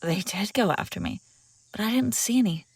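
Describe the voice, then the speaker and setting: A woman's voice: medium pitch, moderate pace, breathy edge, dry recording. Woman acting as commanding fugitive princess, close and dry. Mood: puzzled, probing. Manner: dramatic, expressive, speaking straight to the listener. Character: escaped enemy princess, commanding, incredulous, wry. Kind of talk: immersive audio roleplay acting, one side of a dialogue.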